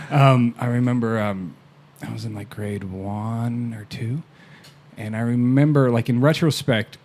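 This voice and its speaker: A man's voice: medium pitch, slow pace, a little mellow. A man chatting casually on a podcast, telling laid-back stories, swearing now and then and chuckling often. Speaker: podcast guest. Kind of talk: casual chat. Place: podcast recording in Canada.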